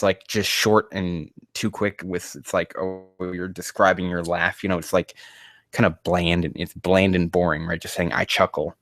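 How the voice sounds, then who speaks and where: A man's voice: low-pitched, a bit bright, dry recording, clean studio sound. A man casually reading aloud and commenting on a live stream, rambling, swearing and sounding exasperated as he mocks the writing.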